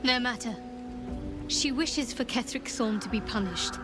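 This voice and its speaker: A guarded, devout cleric from a fantasy game, played by a woman. Her voice is fairly high, cool and formal, set over music. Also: normal speed, natural voice. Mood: cool, resolute. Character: devout, guarded female cleric of dark goddess. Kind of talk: video game dialogue.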